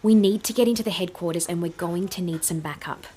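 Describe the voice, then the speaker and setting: A woman's voice: fairly low pitch, dark tone, a little hiss or hum, lightly acted. A woman playing a driven inventor and team leader in an audio drama, decisive and commanding. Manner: decisive, brisk orders.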